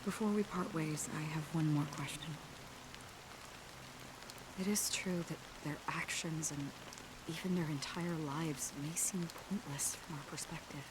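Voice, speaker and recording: An actress playing a calm, pensive wanderer in a video game, earnest and questioning. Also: female voice, low voice, measured pace. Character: calm, pensive wanderer seeking meaning in nothingness. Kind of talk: scripted video game drama. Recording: game audio with rain noise behind.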